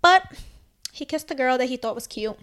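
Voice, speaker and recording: A woman's voice: sits high, moderate pace. A woman co-hosting a podcast, giving her opinions in casual chat and saying "like" a lot. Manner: chatty, lots of "like", animated opinions. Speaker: podcast co-host.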